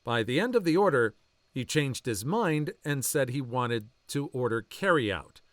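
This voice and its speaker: Male story narrator, mid-pitched, animated intonation, dry close sound. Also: unhurried, dry recording. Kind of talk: reading online posts aloud.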